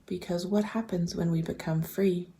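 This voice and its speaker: A woman leading a guided visualization, speaking softly and breathily in gentle, deliberate questions that often slip toward a whisper. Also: lowish voice, fairly even intonation, gravelly. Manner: gentle, deliberate, posing open questions with pauses. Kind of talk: slow guided meditation, reflective questions.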